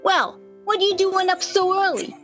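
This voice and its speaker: A woman's voice: very high-pitched, moderate pace, fairly bright tone, soft background music. A woman playing a kindly, consoling hotel switchboard operator in a 1930s radio play. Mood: concerned.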